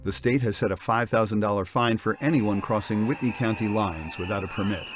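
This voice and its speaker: A man's voice: lowish voice, fairly quick, soft background music, natural voice. A man playing a sober evening news anchor, reading a formal scripted bulletin in an audio drama. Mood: sober, factual. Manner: formal newsreading.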